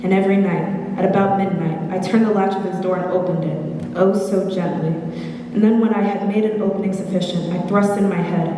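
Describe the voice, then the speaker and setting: A woman's voice: fairly low pitch, close to an everyday voice. Teenage girl as a mad murderer, distant and echoing. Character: calculating, boastful mad murderer telling the tale. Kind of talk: dramatic stage monologue from a gothic horror tale. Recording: distant echoey hall, loud background noise.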